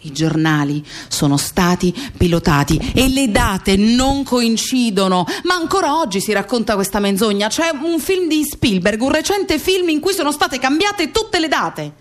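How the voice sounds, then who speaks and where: An actress playing an impassioned woman testifying for a murdered friend, emphatic and deliberate, in an Italian radio play. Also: fairly high pitch, sing-song. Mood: indignant. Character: impassioned female witness defending a murdered poet friend. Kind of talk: Italian radio theatre monologue.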